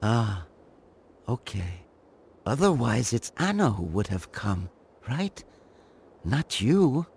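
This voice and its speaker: An actor playing a frail, simple-minded old inventor who talks in short childlike sentences, in a video game. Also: male voice, on the low side, big pitch swings, takes it slowly, pauses often, a little mellow, full character voice. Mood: wistful, simple. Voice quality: husky, breathy.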